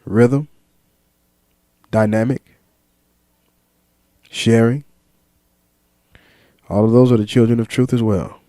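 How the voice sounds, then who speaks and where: A man talking freely to his viewers in a reflective monologue, slow and deliberate, listing ideas one word at a time with some fillers and repeats. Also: medium pitch, steady tone, mellow, no echo, clean studio sound. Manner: lists ideas in measured single words. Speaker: vlogger.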